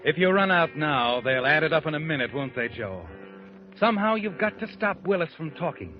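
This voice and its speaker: The Whistler, a mocking narrator voice in old radio, slightly high, lively delivery. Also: male voice, brisk. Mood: ominous. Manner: taunting, addresses the listener as "you". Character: the Whistler, sinister all-knowing male narrator. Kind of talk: 1940s radio drama narration. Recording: dull old radio broadcast with music.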